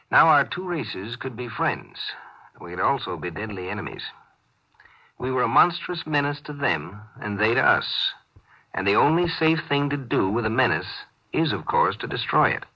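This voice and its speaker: An actor playing a grave male spacefarer who narrates a 1950s radio drama with deliberate, dramatic phrasing. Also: medium pitch, expressive, breathy edge. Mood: grave, ominous. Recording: dull, band-limited 1950s radio recording.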